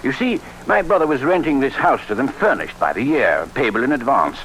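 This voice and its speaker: Apologetic English householder, British accent, stammering politeness, mid-range but very varied pitch, radio drama. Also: male voice, sing-song, fairly quick, fairly bright tone. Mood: nervous, apologetic. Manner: hesitant, fussy, stammering. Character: nervous, apologetic gentleman householder. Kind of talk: old-time radio drama acting. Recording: noisy vintage radio recording.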